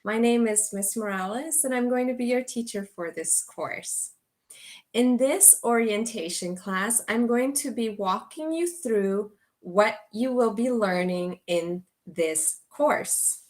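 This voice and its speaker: A woman teacher from Guatemala, giving a slow, clear orientation to an online English as a course. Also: on the high side. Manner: slow, deliberate delivery for language learners.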